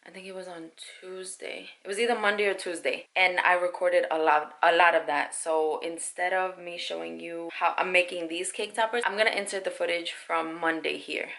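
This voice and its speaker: A woman with a bright, forceful tone and a slightly low-set voice talks through her small craft business in a relaxed vlog. Her first language is Spanish, and she explains each step in a chatty, easygoing way. She sounds like a shop owner walking her viewers through her day. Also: moderate pace, gravelly, few pauses. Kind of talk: casual vlog chat.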